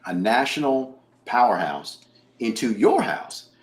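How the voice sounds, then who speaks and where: A man's voice: medium pitch, big pitch swings, a little slow. A man hosting a local sports talk show, asking long, hesitant questions full of fillers. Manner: rambling, hesitant questions full of "uh" and "you know". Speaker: show host. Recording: echoey room with faint noise.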